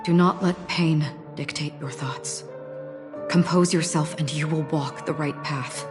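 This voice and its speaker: Low-voiced, solemn swordswoman. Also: fairly even intonation, over music. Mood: grave, resolute. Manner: measured, solemn, hesitant. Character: somber, guilt-burdened woman swordfighter, calm and grave mentor. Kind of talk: video game voice acting.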